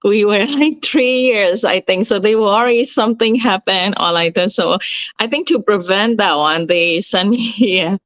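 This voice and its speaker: A woman chats in an interview, feeling her way through sentences with frequent fillers. Her voice sits at a middle pitch. The recording is dry and slightly muffled. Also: measured pace, a bit bright, no room sound. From Myanmar. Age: 30s. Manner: chatty, searching for words with many likes. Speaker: guest. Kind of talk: casual interview chat. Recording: dull, slightly lo-fi sound.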